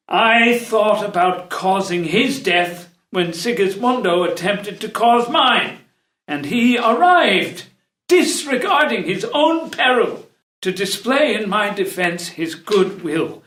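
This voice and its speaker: An actor playing a dignified, protective older courtier in a read-through of a classical play, speaking formally and deliberately. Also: male voice, high voice, sing-song, fairly bright tone, exaggerated voice. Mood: rueful. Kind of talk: unrehearsed stage play reading. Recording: roomy echo.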